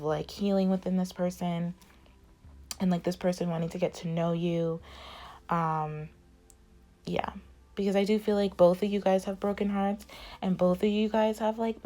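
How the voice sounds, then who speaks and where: A woman's voice: lowish voice, mellow. A woman giving a casual tarot reading, rambling with many fillers while losing her voice. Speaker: tarot reader.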